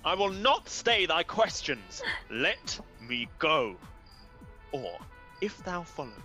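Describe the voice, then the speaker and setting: A man's voice: fairly high pitch, very animated, takes it slowly, pushed and bright, soft background music, exaggerated voice. A man playing an exasperated, disdainful young lover in a Shakespearean radio play, curt and irritated. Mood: disgusted, impatient. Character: exasperated, scornful young male lover fleeing an admirer.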